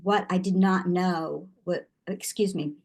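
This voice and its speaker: Older woman guest, lower pitch, reflective and measured, restarts often mid-thought. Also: steady tone, creaky, darkish tone. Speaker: guest artist. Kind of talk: interview answers.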